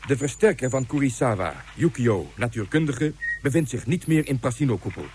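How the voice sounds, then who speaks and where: A dutiful space-mission commander, acted by a man in a sci-fi radio play. He reports in formal, clipped military phrases at a middling pitch. There is faint noise with small clicks behind him. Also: animated, a little fast, a little mellow, close to an everyday voice. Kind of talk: Dutch science fiction radio drama acting.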